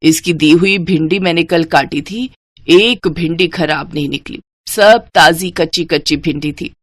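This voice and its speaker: A woman's voice: sits low, unhurried, dry recording. A woman voicing a caring older mother in a moral story, with a homely, sympathetic manner. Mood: approving. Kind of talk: Hindi moral story character dialogue.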